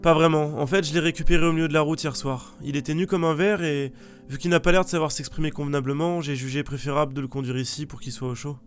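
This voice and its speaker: A fast-talking young lieutenant played by a male narrator in an audio drama. His voice is fairly high, earnest and deferential, over music. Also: steady tone, natural voice.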